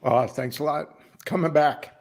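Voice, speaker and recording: A man's voice: on the high side, unhurried. A man who is an auditioning actor, chatting casually on an online call. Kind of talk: casual chat. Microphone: headset and new mic on online call.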